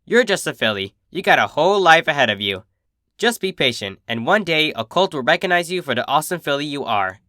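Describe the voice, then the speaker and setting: A man's voice: fairly high pitch, sing-song, a little fast, bright, forceful tone, dry recording, clean studio sound. A man voicing a cocky, protective female pegasus, a big sister with a brash, casual, reassuring manner.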